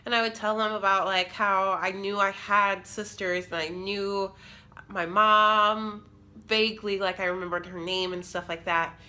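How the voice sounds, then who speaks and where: A woman's voice: mid-range voice, steady tone, a little slow, bright, forceful tone. A woman in her twenties telling a personal story in a casual, rambling way, sounding nasal and congested from a cold. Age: 20s. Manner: rambling, casual, many fillers. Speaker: vlogger. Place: living room, sitting on the floor.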